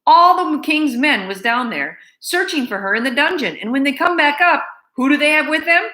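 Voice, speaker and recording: A woman voicing a harsh, dismissive castle servant woman who shouts and scolds. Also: a little high, very animated, normal speed, pitched above the performer's own voice. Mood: indignant. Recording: roomy echo.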